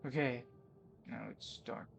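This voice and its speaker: A gamer giving brief, low-key, casual commentary over gameplay. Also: fairly even intonation, unhurried, vocal fry. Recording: quiet voice under loud game music. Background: loud game music.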